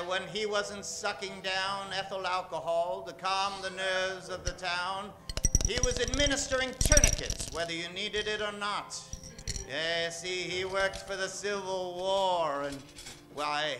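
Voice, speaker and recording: Male radio announcer for a western, high voice, emphatic old-time delivery with stretched-out names, prominent music underneath. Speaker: announcer introducing a radio play cast. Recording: music behind the voice.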